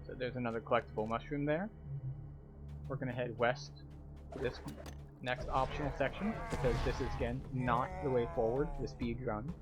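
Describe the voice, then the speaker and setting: A man's voice: mid-range voice, fairly even intonation, normal speed. Man guiding a game walkthrough over music. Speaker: video game tour guide. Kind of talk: walkthrough commentary, casual and explanatory.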